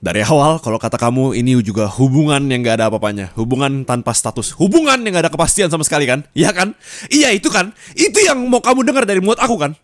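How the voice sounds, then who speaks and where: A man in an ASMR roleplay, scolding his partner bitterly. He moves between low muttering and raised angry reproach, recorded on a close mic. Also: big pitch swings, fast, no echo.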